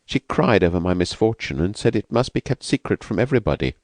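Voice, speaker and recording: A man voicing a frightened, fragile young woman who has escaped from an asylum, speaking softly and hesitantly. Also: on the low side, sing-song, quick pace, no echo, pitched above the performer's own voice. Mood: earnest. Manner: hesitant, vacant, soft-spoken.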